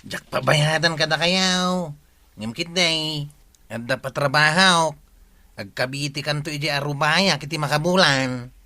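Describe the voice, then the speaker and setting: A man's voice: fairly high pitch, measured pace, sharp tone, heavily acted. A man with an Ilocano accent acting as a pushy village man offering work in a radio comedy drama. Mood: coaxing.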